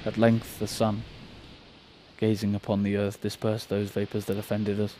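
A man reads out old verse in an even, measured tone. His pitch barely moves, and the recording has a light hiss under it. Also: poor audio. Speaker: stage actor. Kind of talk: Shakespeare verse recitation.

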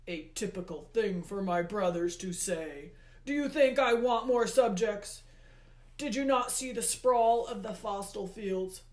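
A woman voicing a furious, imperious male god of the underworld for a storybook, with booming, emphatic, drawn-out words. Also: darkish tone, close to an everyday voice. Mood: exasperated ranting. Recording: roomy, echoey indoor sound.

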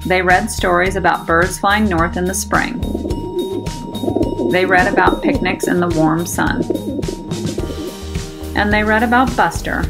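A woman reading a children's storybook aloud with expressive, careful enunciation for young listeners. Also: lowish voice, a little slow, vocal fry, long pauses. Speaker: storybook reader. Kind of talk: scripted children's storybook narration. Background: music.

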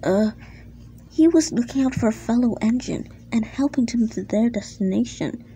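A woman voicing a calm, reasonable male engine in a children's story, hesitant and placating. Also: on the high side, moderate pace, slight background noise, close to an everyday voice, poor audio.

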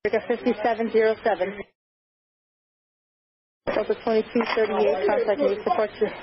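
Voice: mid-range voice, level tone, moderate pace, muffled recording. An air traffic tower controller giving clipped instructions in radio phraseology over an aviation radio.

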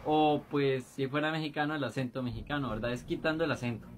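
A man's voice: medium pitch, fast talker. A young man in his twenties with a Costa Rican accent, chatting animatedly to fans from a small bedroom. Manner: animated, gestures a lot. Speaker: fan voice actor, channel host. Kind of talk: casual video chat to fans.

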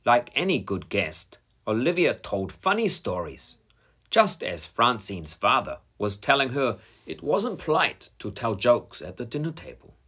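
A man reading a children's picture book aloud at an unhurried pace, his voice husky and bright. His pitch rises and falls widely as he tells the story. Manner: slow, expressive reading. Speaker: storybook reader.

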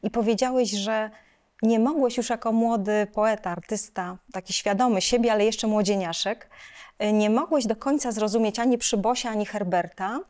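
A woman's voice: mid-range voice, moderate pace, a little mellow. A middle-aged woman from Poland hosting an interview in a reflective, conversational style. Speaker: host, interviewer. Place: studio set styled as a library lounge, indoors.

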